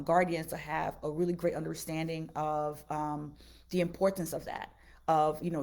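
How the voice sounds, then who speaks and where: A woman's voice: low voice, darkish tone. A woman from Haiti, a native Haitian Creole speaker, giving casual advice as a teacher on a live stream, earnest and repeating phrases for emphasis.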